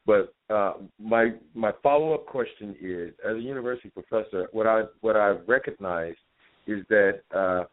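A man phoning in to a talk show as a caller, speaking formally and in measured, halting phrases, like an academic in earnest discussion. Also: on the low side. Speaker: caller, university professor. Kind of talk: earnest live call-in discussion. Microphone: phone line. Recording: dull narrow-band phone call.

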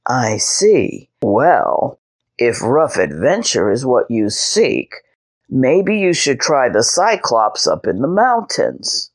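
A woman's voice: rumbling low, sing-song, takes it slowly, breathy edge, pitched below the performer's own voice, full character voice. A woman voicing a mild, playful male dragon who talks in an easygoing, suggesting way.

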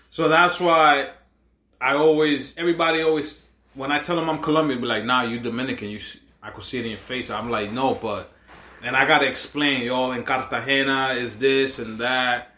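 Bright, animated man in a roomy echo. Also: medium pitch. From Washington Heights, New York. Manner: animated, joking, laughs often. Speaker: podcast co-host. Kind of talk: casual chat over drinks.

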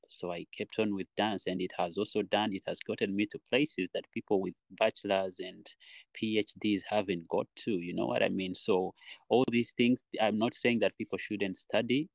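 A young man from Uganda talks through his thoughts in a podcast interview, with a very low voice. He rambles reflectively and fills pauses with "you know". The sound is dry and a bit dull. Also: fairly even intonation, gravelly, dry recording. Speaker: podcast guest, dancer and choreographer. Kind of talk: casual interview talk.

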